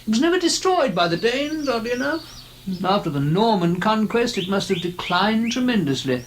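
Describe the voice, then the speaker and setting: An actor playing an amiable, learned antiquarian captain in a radio horror drama, speaking in hesitant, musing asides. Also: male voice, high-pitched, very animated, normal speed, a little hiss or hum. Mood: scholarly, musing. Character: amiable, learned antiquarian captain, eager guide with uneasy dread. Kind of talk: old-time radio horror drama dialogue.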